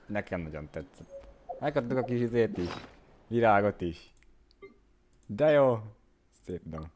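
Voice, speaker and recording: Casting: Hungarian man, casual game streamer, deep register, leisurely pace. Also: lively intonation, a little slow, darkish tone, slight background noise.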